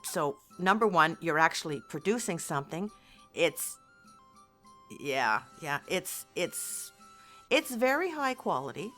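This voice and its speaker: A woman's voice: lowish voice, big pitch swings, measured pace, bright, forceful tone, soft background music. A woman speaking candidly and expressively as an interviewed voice actor in a casual online call.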